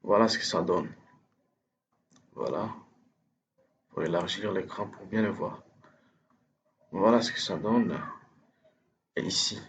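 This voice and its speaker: A man with French as his first language, giving a hesitant, step-by-step software tutorial over a screen recording, full of fillers and restarts. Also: sits low, slow pace, long pauses. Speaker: instructor.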